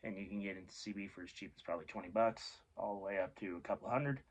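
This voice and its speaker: A man's voice: sits low, quick pace, husky. A man giving a casual, informal explainer as a video host, rambling and offhand, often correcting himself. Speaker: host of a radio hobby video series.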